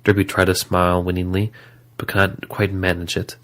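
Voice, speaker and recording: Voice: low voice, flat delivery, a little fast. A narrator reading scripted third-person story narration for an audio drama.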